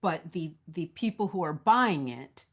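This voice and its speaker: A woman's voice: deep voice, animated, unhurried. A woman moderating a conversational question-and-answer session and putting questions to a guest.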